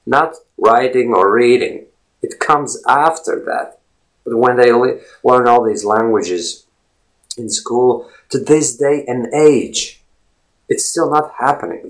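A man's voice: mid-range voice, low-quality recording. A Latvian man in his forties, a native Latvian speaker talking in English, giving a rambling casual monologue with many fillers and repeated words. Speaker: language blogger.

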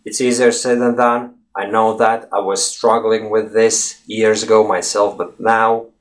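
A man whose first language is Russian, talking casually and encouragingly to learners about speaking English, with frequent pauses and repeated phrases. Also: fairly low pitch. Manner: relaxed, conversational, many "uh" pauses, repeats key phrases. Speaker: English fluency coach. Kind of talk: casual spoken lesson to viewers.

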